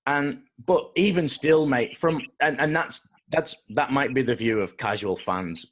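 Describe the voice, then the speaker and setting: A man's voice: mid-range voice, a little slow. A man hosting an interview, casual and supportive, breaking down boxing technique with his guest. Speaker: interviewer host. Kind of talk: interview conversation.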